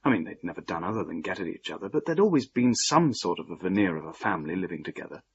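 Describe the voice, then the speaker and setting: Low-voiced, earnest young man. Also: big pitch swings, quick pace. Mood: troubled. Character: earnest, troubled young son-in-law caught in family feud. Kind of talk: British radio drama acting. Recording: dull, noisy radio play recording.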